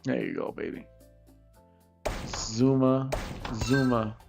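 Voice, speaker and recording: A man's voice: mid-range voice, slow, creaky. A male streamer muttering and repeating phrases as he reacts to a game. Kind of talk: casual livestream gaming commentary. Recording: music playing loudly behind voice. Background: loud music.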